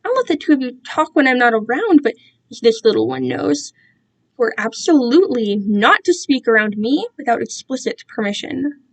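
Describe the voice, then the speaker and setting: A woman's voice: sits high, big pitch swings, normal speed, creaky, heavily acted. A woman voicing a condescending male captor in a story, cooing and patronizing as he keeps control. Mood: calm, controlling.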